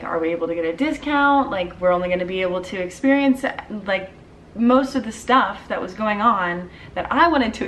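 A woman's voice: medium pitch, fairly bright tone, recorded from a distance, a little hiss or hum, poor audio. A young woman in her twenties telling a casual storytime vlog at home, chatty with lots of "like" and "um". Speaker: vlogger. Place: dim living room at home.

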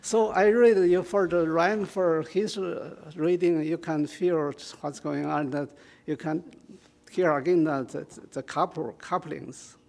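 Retired man with a Chinese accent, unhurried and halting, a bit higher-pitched, at a stand mic. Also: steady tone, creaky, a little mellow. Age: older. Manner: halting, with many fillers and restarts. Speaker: poetry reader. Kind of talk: informal reading and explanation at a community event. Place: small community room with an audience. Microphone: stand microphone.

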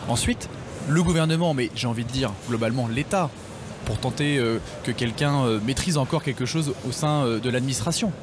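A man's voice: medium pitch, fairly quick. A man who is a mayor, answering a radio interview with firm, fluent arguments at a trade fair stand. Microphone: table radio mic with headphones. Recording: noisy trade fair hall.

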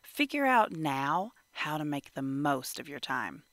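A woman reading a children's picture book aloud in an animated, measured storytelling manner. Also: sits low, dry recording. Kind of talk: expressive children's read-aloud narration.

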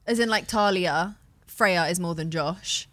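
A woman's voice: fairly low pitch, no room sound. A woman, chatting frankly as a podcast guest and laughing easily. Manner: frank, animated, quick to laugh. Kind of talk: casual chat. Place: podcast studio. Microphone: boom mic close up.

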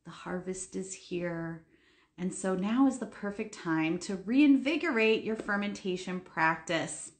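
Gushing woman hosting a live stream. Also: mid-range voice. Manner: warm-hearted, spiritual, enthusiastic. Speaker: host. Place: indoors, home room. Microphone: phone camera, video call.

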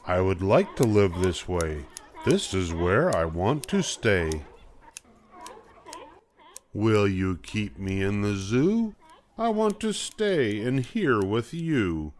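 An older man voicing an eager, showy spotted animal in a picture book, with slow, emphatic, singsong delivery. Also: fairly low pitch, sing-song, a little mellow. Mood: wistful. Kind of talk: picture book read-aloud.

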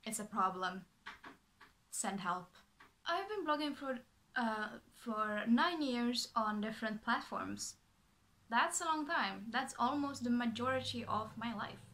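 A woman's voice: on the high side, expressive, measured pace, sharp tone, a little hiss or hum. A young woman from Finland with a Finland Swedish accent, native speaker of Swedish, chatting to camera in her small bedroom from a script, bubbly and full of fillers and restarts. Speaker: vlogger. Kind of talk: casual talking to camera, reading from a script.

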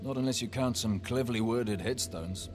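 A man's voice: mid-range voice, normal speed, natural voice. A man playing a dutiful mercenary in a video game, reporting in a deferential, matter-of-fact way. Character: dutiful male mercenary reporting to his boss. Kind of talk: cinematic video game dialogue. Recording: faint game music behind.

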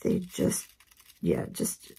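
A woman with a hoarse voice from a head cold, giving a relaxed, chatty craft tutorial at her work table. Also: extremely slow. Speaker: craft instructor. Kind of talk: casual step-by-step tutorial.

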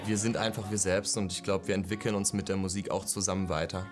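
A young man in his late twenties speaks in a lowish, relaxed voice and stumbles over a few fillers. Music plays behind him. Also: fast. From Germany. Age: 20s. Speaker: contestant musician. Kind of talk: casual talk to camera.

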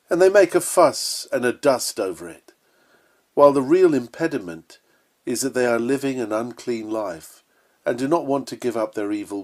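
A man's voice: medium pitch, a little mellow. Earnest man reading an old sermon. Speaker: sermon reader. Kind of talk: read-aloud Victorian sermon, scripted narration.

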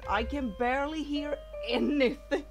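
A woman's voice: sits high, steady tone, a little slow. A woman streaming a game from home on a headset, chatting casually and thinking aloud. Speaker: streamer playing a visual novel. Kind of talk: casual let's-play chat. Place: room at home. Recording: game music in the background.

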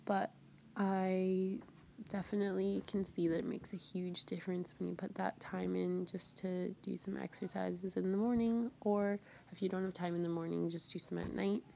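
Slow, gravelly-voiced young woman vlogger. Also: fairly low pitch, a little hiss or hum. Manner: relaxed, soft-spoken, with light laughs. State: getting over a cold. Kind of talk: casual voice-over narration of a routine. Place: bedroom at home.

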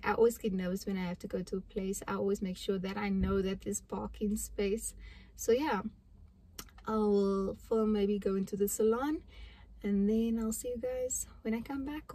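A woman's voice: medium pitch, rough recording. A young woman talks casually into a phone camera while sitting in a parked car. Her delivery is chatty and rambling, full of small asides and restarts, like a personal vlog. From South Africa. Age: young adult. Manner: chatty, rambling, animated hand gestures. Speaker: vlogger. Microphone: phone camera at arm's length.

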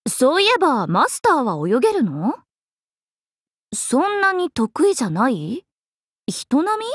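A woman voicing a playful, cheerful young swordswoman in an anime mobile game, breezy, expressive and teasing like a big sister. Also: high voice, a little slow, no room sound, studio quality, full character voice. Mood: playful, eager. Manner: breezy, expressive, teasing, casual with sudden formal turns.

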